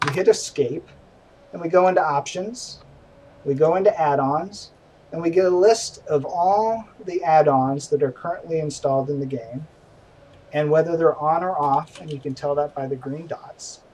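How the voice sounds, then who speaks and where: A man's voice: on the high side, darkish tone, far from the mic, a little hiss or hum, rough recording. A middle-aged man hosting a casual game stream, chatty and self-deprecating, recorded at home. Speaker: stream host. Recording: voice from away from the mic, faint music behind.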